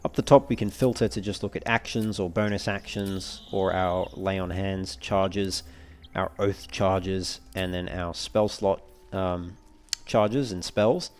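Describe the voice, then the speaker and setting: A man's voice: deep voice, fairly even intonation, measured pace. A man giving casual commentary on a video game walkthrough, thinking aloud as he reads through menus. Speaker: let's player.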